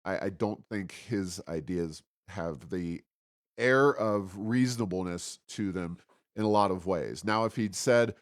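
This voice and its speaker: A man's voice: deep voice, measured pace, dry recording, clean studio sound. A man hosting a talk podcast, giving unscripted political and economic commentary in a discursive, argumentative manner.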